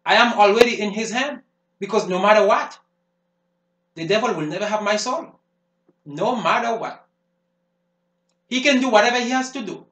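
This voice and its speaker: A fervent preacher, hammering his points in repeated phrases. His voice sits high for a man. The room rings with echo around him. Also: fairly bright tone. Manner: emphatic, repetitive preaching cadence. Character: fervent male preacher warning of the devil. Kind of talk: sermon. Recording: roomy echo.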